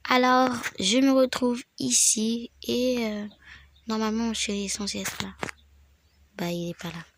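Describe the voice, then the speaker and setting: A woman's voice: fairly high pitch, steady tone, unhurried, a little hiss or hum. A woman with a head cold, rambling through a casual game tutorial and dropping into whispered asides. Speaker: gaming video creator.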